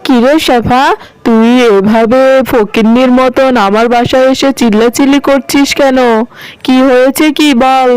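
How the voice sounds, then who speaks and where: Cartoon tigress mother, played by a woman: high, clear voice, scornful and indignant, always scolding. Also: fairly even intonation, a little slow, a little mellow, no room sound, rough recording. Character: haughty, scornful tigress mother quick to take offence. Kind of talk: animated cartoon dialogue.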